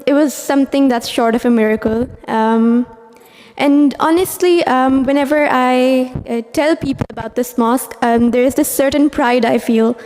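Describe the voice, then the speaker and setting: Young woman, higher voice, earnest prepared speech, reverberant hall. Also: darkish tone. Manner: earnest, with frequent "um"s. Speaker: speaker at a mosque anniversary.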